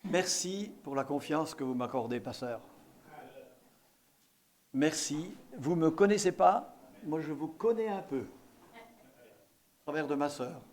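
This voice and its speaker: A man's voice: fairly high pitch, takes it slowly, long pauses, darkish tone. A man from eastern France preaching a sermon as a visiting pastor, exhorting and repeating key phrases for emphasis.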